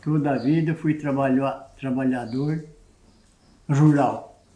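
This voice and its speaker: A man in his nineties who grew up in the countryside, speaking slowly and hesitantly, restarting words. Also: mid-range voice, steady tone, dark tone, echoey room, poor audio. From rural Brazil. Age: 90s. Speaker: war veteran interviewee.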